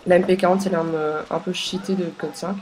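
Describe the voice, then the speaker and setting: A young woman with a stuffy, congested voice from a cold, talking over her game in a halting way with many pauses and fillers. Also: on the low side, fairly even intonation, darkish tone, slight background noise, poor audio. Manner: hesitant, many filler sounds and restarts. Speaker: gameplay commentator. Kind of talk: casual gameplay commentary.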